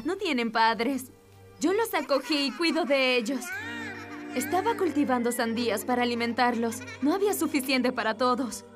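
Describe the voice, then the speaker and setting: A woman voicing a plucky, caring jungle animal nanny, a spirited mole, in an animated cartoon. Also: sits high. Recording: music behind.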